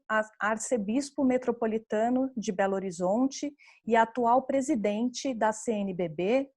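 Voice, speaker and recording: A woman's voice: fairly high pitch, darkish tone. Formal Brazilian woman introducing speakers. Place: online video conference session.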